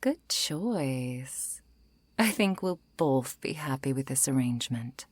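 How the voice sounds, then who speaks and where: A woman playing a seductive, menacing undead vampire in an intimate ASMR roleplay, coaxing and softly threatening, often slipping into whispers. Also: expressive, slow pace, dry recording, heavily acted. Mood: persuasive, possessive. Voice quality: low, breathy, creaky.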